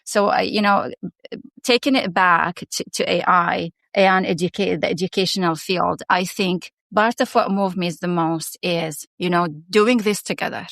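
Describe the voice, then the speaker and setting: A woman's voice: lowish voice, steady tone, a little slow, gravelly, dry recording. A middle-aged woman from Syria talks as an interview guest, thinking aloud with frequent restarts and small laughs. The sound is dry and close, with no room around her. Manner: warm, reflective, many restarts and fillers, laughs often. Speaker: guest, education founder and former principal. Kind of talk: casual interview conversation.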